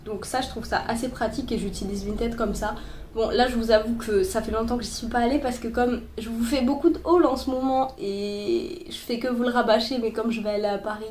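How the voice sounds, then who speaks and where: A woman's voice: a little high, steady tone, brisk, a little mellow, roomy sound, a little hiss or hum. A young woman chatting casually in a sewing tutorial, full of fillers and restarts, a little stuffy from a cold, recorded on a phone. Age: young adult. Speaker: sewing vlogger. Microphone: boyfriend's phone.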